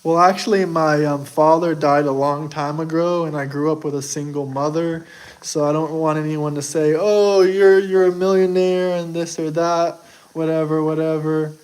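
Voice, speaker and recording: A man's voice: a little high, fairly even intonation, moderate pace, darkish tone. Young man rambling to his stream chat. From United States. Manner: boastful, defensive, rambling. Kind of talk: casual live chat.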